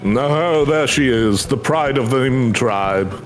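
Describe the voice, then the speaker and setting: A man's voice: mid-range voice, slow pace, soft background music, close to an everyday voice. A man voicing a proud, doting catfolk father from a video game in an effusive, fond manner. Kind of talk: video game dialogue read aloud.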